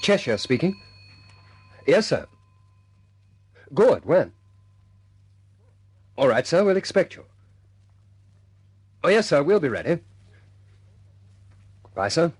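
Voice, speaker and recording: An actor playing a composed bomber squadron leader in a wartime radio drama, clipped and military in manner, with a slightly husky voice. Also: male voice, on the high side, big pitch swings, slow, pauses often, darkish tone, full character voice. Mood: brisk, deferential. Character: brisk British bomber squadron leader, adult man, composed.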